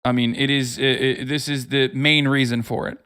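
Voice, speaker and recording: A man's voice: mid-range voice, flat delivery, normal speed, pushed and bright, clean tone. A man giving unscripted live political commentary as a streamer, swearing freely and speaking in an emphatic, mocking way.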